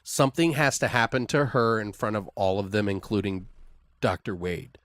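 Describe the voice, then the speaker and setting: A man hosting a podcast, casually making up a story aloud in an excitable, loosely profane way. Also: lowish voice, moderate pace, dry recording, studio quality. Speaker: podcast host. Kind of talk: casual improvised story brainstorming.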